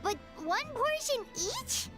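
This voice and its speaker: A tiny fairy companion from a video game. The voice is extremely high and childlike, with lively, swooping intonation. Delivery is excitable and chatty, over clear background music. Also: female voice, takes it slowly, exaggerated voice. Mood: dismayed. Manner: exclamatory, speaks of herself in third person. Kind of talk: English game dub voice acting.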